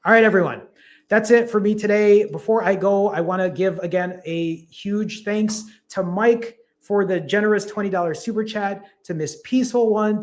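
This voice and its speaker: A young man hosting a fasting coaching livestream who reads viewer comments aloud and encourages them in a direct, casual way. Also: sits high.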